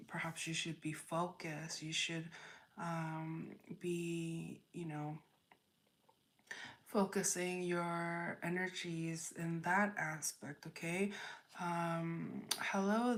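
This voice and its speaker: Slow, low-voiced woman thinking aloud. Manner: halting, thinking aloud, repeating phrases. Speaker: tarot reader, livestream host. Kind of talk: spontaneous spiritual reading, chatting with live viewers.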